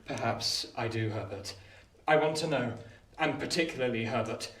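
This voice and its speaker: An actor playing an earnest, troubled young gentleman in a radio play, speaking in a formal, questioning manner. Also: mid-range voice, normal speed, natural voice. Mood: uneasy, urgent. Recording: roomy, distant, faintly noisy recording.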